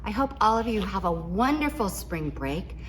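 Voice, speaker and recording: A woman reading a picture book aloud to children in an expressive storytelling style. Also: medium pitch, moderate pace, slight background noise. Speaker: picture book reader at a school.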